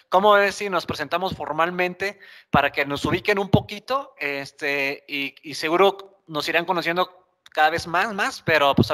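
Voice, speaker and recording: A man co-hosts a podcast. His voice is fairly high for a man and has a bright, forward edge. He talks in a casual, conversational style. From Monterrey, Mexico. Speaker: podcast co-host. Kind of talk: casual chat.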